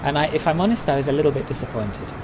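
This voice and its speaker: A man's voice: on the high side, racing, background noise. A man chatting casually on a live stream, answering viewers' messages one by one. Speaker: flight sim streamer.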